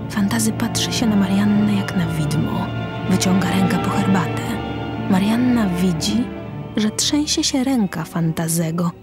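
A woman's voice: medium pitch, a little slow. A woman reading scripted narration for an audio drama, describing the action as narrator. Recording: music under the voice. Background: music.

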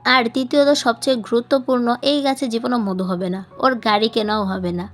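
A woman's voice: a little high, steady tone, moderate pace, faint music behind, lightly acted. A woman voicing a cheeky, playful grown man in an audiobook, teasing with mock-serious, pompous reasoning. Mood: smug teasing. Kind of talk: audiobook character dialogue.

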